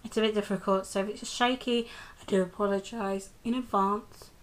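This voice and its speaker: A woman's voice: mid-range voice, fairly even intonation, moderate pace. A young British woman doing a casual, rambling review of a TV episode, talking into a handheld camera held close to her face, with lots of ums and restarts. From United Kingdom.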